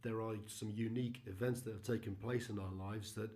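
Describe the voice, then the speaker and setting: Earnest middle-aged man with a low voice. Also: fairly even intonation, warm tone. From United Kingdom. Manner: earnest and measured, with frequent ums and uhs. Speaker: mission partner presenting to a church. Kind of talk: recorded slide presentation.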